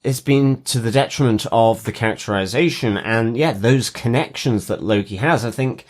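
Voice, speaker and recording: A man's voice: medium pitch, normal speed. A man co-hosting a podcast, discussing television in a reflective, qualifying way. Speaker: podcast co-host.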